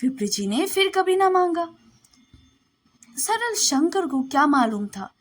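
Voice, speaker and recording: High-pitched, slow-paced woman storyteller. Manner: expressive, deliberate, scripted reading. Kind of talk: dramatic literary story narration.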